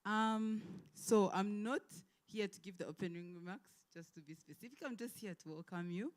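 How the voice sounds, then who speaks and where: A woman hosts an event with an informal welcome speech at a middle pitch. She speaks politely and a little hesitantly, as if addressing guests directly. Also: moderate pace. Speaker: event host giving a welcome.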